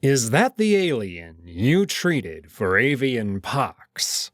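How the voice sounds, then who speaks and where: A man voicing a furious old wolf ruler in a visual novel, giving slow, forceful, menacing commands. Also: medium pitch, sing-song, no room sound, studio quality. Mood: stern, commanding.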